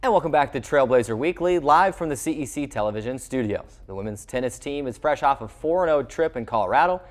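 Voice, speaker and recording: A man's voice: mid-range voice, animated, fast talker. A man hosting a sports interview show in a television studio, with a wordy broadcaster's way of presenting.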